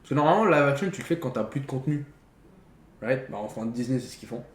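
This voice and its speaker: A man's voice: mid-range voice, animated, fast talker. A young man chatting casually about films in slangy speech, dropping English phrases into his sentences. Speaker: podcast co-host. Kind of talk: casual film chat. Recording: roomy echo, faint background noise.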